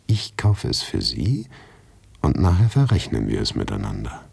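A man's voice: very deep voice, huge pitch swings, moderate pace, vocal fry, darkish tone, slightly rough, voice pushed down, heavily acted. A man voicing a curious, pushy but kindly small young lady in a radio play, with an insistent, coaxing manner. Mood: bossy, kind.

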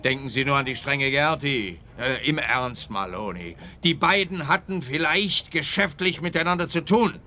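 A man's voice: medium pitch, normal speed, few pauses, pushed and bright, close to an everyday voice. An actor playing an exasperated, self-righteous policeman in a radio play. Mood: matter-of-fact, smug.

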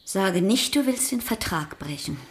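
An actress playing the exasperated, sharp-tongued sister of a vain musician in a German radio play, scolding and sardonic. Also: female voice, mid-range voice, lively intonation, a little slow, natural voice. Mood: bitter, scolding.

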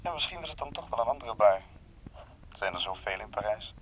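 An actor playing a polite, smooth-talking man on the telephone in a radio drama. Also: lowish voice, lively intonation, fast talker. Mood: courteous. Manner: courteous, accommodating. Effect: telephone filter. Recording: faint, noisy, dull telephone line.

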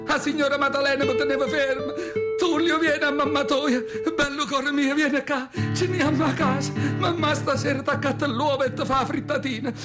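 A man's voice: very high voice, flat delivery, a little fast. A male actor playing an elderly, devout southern Italian mother with a Neapolitan accent, telling her memories in a plaintive, emotional radio monologue. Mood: anguished pleading. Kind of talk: theatrical radio monologue in dialect. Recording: guitar music behind the voice. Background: acoustic guitar music.

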